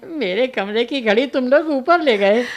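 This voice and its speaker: An actor playing a querulous, self-pitying, bedridden old father in a radio drama, with halting, plaintive, repetitive speech. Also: extremely high pitch, lively intonation, lo-fi sound. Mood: querulous, fretful. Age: older. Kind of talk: Hindi radio play acting.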